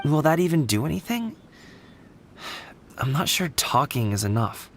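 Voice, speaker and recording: A man's voice: fairly high pitch, very animated, normal speed, a little hiss or hum. An actor playing an earnest young man at odds with his father, who sounds a little weary and sighs often. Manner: earnest, a bit weary, sighing. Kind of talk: game voice acting.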